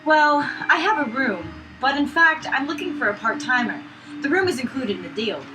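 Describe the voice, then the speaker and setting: Woman reading as a high-voiced, brisk young florist. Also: sing-song, over music. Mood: businesslike. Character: friendly young Frenchwoman florist, brisk, chatty landlady. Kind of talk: reading visual novel dialogue aloud. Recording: distant mic with clear background noise.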